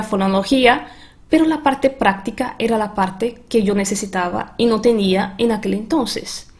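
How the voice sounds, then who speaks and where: A language coach from Brazil speaks with a Brazilian Portuguese accent, her voice sitting slightly high. She tells her own learning story in a reflective, earnest way, as in a recorded master class. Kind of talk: online master class talk.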